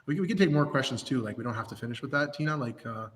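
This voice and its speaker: A hoarse man speaking as a priest in a livestreamed pastoral talk, earnest, with many restarts and fillers. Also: mid-range voice, lively intonation, racing, long reverb tail, heavy background noise. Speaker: Orthodox priest.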